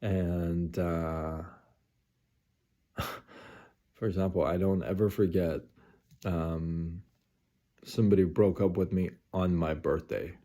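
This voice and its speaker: A man's voice: sits low, fairly even intonation, takes it slowly, long pauses, dark tone. A man in his forties, hosting a live stream, talking casually and rambling late at night with many fillers and repeats. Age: 40s.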